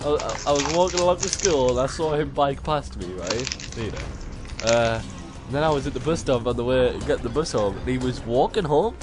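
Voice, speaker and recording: A man's voice: mid-range voice, animated, a little fast, over music. A young man chatting casually while gaming, telling anecdotes and giving opinions. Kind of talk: casual chat while playing a game.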